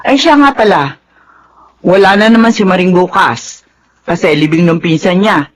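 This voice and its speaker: A woman's voice: fairly low pitch, normal speed, a little hiss or hum, close to an everyday voice. An actress playing a stern, protective widowed mother in a Filipino radio drama, firm and admonishing. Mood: matter-of-fact.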